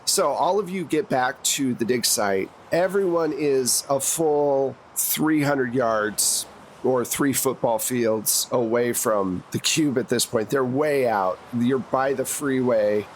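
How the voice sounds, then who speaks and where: A man's voice: medium pitch, slow, a little hiss or hum. A man narrating a tabletop roleplaying game as game master, in a conversational, plain-spoken way. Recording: music behind the voice.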